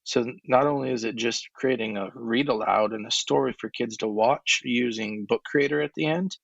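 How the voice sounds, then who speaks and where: A young man, an ed tech coach, answering interview questions casually in a monotone voice, with many fillers. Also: mid-range voice, gravelly, no room sound, highs cut off. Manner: relaxed, filler-heavy, laughs between thoughts.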